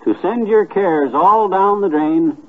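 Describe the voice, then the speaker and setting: An actor playing a folksy middle-aged husband in a 1950s radio sitcom, on a muffled, noisy old recording. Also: male voice, high voice, slow pace. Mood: pleased, curious.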